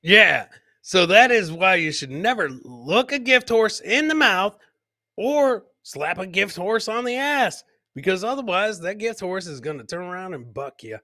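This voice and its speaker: A man with a forceful, bright voice pitched a little high hosts a casual comedy show. He riffs on video clips, circling back to the same catchphrases and chuckling at his own jokes. The sound is dry and close. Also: expressive, measured pace, no echo. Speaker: podcast host.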